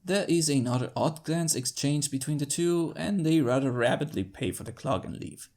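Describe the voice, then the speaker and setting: A man with a creaky voice narrating a first-person story in an expressive, theatrical way. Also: a little high, moderate pace. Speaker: fan fiction narrator.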